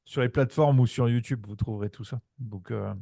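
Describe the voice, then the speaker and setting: Relaxed podcast host, dry close sound. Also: male voice, mid-range voice, a little fast, creaky, dry recording. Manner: relaxed, leads the talk. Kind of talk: casual chat.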